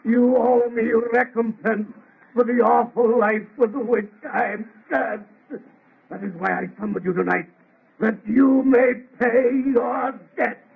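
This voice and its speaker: Man-made monster, raspy and theatrical, bitter and lonely, vintage radio horror on lo-fi narrow sound. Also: extremely high pitch, fairly even intonation, slow pace, full character voice. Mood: bitter reproach. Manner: declamatory, anguished, theatrical. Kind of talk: 1930s radio horror acting. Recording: muffled, lo-fi vintage radio recording.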